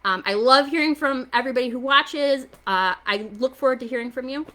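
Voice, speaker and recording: Bright, high-voiced woman hosting children's science time. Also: brisk. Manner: excitable, exclaiming, encouraging; simple questions to young viewers. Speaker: children's story time host. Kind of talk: hands-on science demo for young children.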